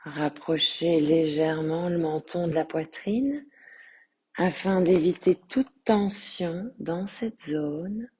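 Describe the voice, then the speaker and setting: A woman guiding a relaxation meditation as a yoga teacher, speaking softly and breathily in a calm, soothing, measured way with long pauses. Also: lowish voice, husky. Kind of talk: guided meditation.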